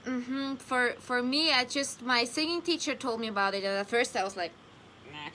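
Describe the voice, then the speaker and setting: High, bright woman who searches for words. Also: lively intonation, normal speed, slight background noise. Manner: searches for words, laughs easily. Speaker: singer, interviewer. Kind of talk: casual interview chat.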